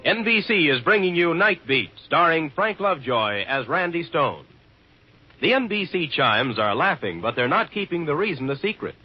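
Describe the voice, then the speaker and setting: A man's voice: a little high, big pitch swings, moderate pace, over music. A man announcing network radio promotions in a showy, upbeat 1950s style. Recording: muffled 1950s radio recording.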